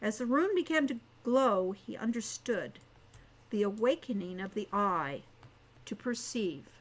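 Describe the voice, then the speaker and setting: A woman's voice: mid-range voice, lively intonation, unhurried, low-quality recording. A woman reading a scripted fantasy story as an audiobook narrator. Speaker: author reading own audiobook.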